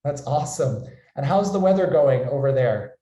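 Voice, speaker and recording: A man's voice: mid-range voice, lively intonation, normal speed, warm tone. An upbeat American man speaking as a company founder, introducing and hosting an online webinar with enthusiasm. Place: indoor room, online webinar call. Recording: roomy, echoey sound.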